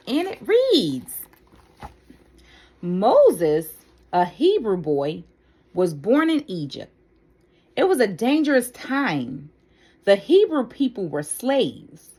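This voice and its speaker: Woman reading aloud slowly, very animated pitch. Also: breathy edge. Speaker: storyteller for a children's Bible story time. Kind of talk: read-aloud children's Bible story.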